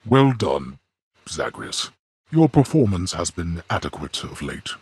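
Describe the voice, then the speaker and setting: An actor playing a stern, imperious old god who rules the underworld in a video game, speaking in haughty, formal, commanding phrases with a gravelly bass. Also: male voice, big pitch swings, measured pace, no room sound, clean studio sound, heavily acted. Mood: stern approval. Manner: haughty, curt, commanding, weighty formal diction. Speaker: ruler of the underworld. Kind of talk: formal, archaic video game character acting.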